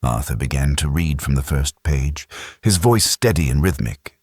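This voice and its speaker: A man with an extremely low voice narrates a sci-fi audiobook. The delivery is measured and dramatic, recorded close and dry with no room sound. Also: animated, darkish tone, no echo.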